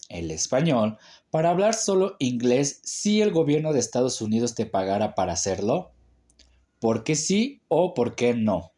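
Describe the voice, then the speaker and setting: A man reads interview questions in a brief, formal manner. His voice is mellow and dark, and his pitch moves freely from phrase to phrase.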